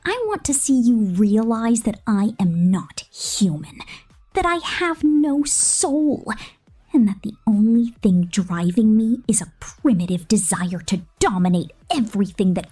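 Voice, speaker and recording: A woman voicing a cruel, possessive killer robot captor in a menacing, taunting ASMR roleplay, mostly in a breathy whisper. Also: mid-range voice, a little mellow. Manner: menacing, taunting, slow and deliberate.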